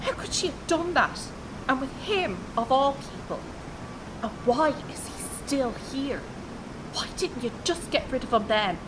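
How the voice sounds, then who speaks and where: A fiery, protective young horsewoman in a radio drama. She is blunt and confrontational, with very lively pitch. Some noise sits behind her voice. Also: a little slow. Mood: pleading, bitter. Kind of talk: naturalistic radio drama acting.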